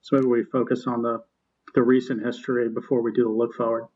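A male podcast host conducting an interview, asking polite, measured questions. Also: mid-range voice, little pitch movement, a little mellow, lo-fi sound. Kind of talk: interview hosting.

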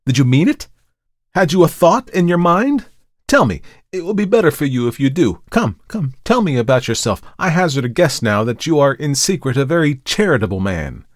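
A man's voice: fairly high pitch, very animated, moderate pace, dry recording, studio quality, pitched above the performer's own voice. A man voicing an agitated, brooding male character in a dramatic storybook reading, speaking with bitter, pleading intensity. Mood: bitter, urgent. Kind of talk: dramatic audiobook character acting.

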